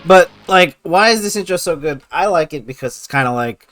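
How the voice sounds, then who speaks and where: A man's voice: fairly high pitch, fairly quick. Hyped up guy doing sweary casual game commentary, voice jumping all over, music behind him. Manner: excitable, hyped, punctuating talk with exclamations. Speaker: video host reacting to favorite game intros. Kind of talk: casual, slangy, profane commentary.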